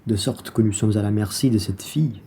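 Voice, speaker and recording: A man's voice: lowish voice, brisk, dark tone, a little hiss or hum, close to an everyday voice. A man playing a crafty, self-serving merchant husband in a radio play, familiar and cajoling in manner.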